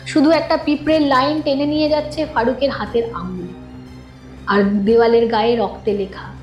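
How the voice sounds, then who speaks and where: High-pitched woman narrating suspense, echoing. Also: fairly even intonation, normal speed, darkish tone. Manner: dramatic, storytelling delivery. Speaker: narrator of a horror audio story. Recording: echoing studio voice with music underneath. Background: background music.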